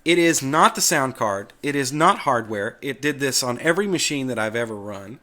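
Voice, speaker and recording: A man's voice: mid-range voice, animated, moderate pace, bright, forceful tone. A man hosting a casual tutorial, explaining things off the cuff in an informal, plain-spoken, folksy way. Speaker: host of a Linux tutorial video.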